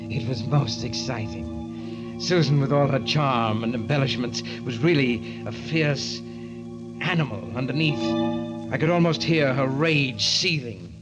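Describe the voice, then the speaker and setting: An actor playing a scheming murderer in an old-time radio mystery, speaking in a cold, deliberate, taunting manner. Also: male voice, medium pitch, lo-fi sound, loud music behind the voice, close to an everyday voice. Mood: tense, savoring. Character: cold, scheming male murderer narrating his own crime.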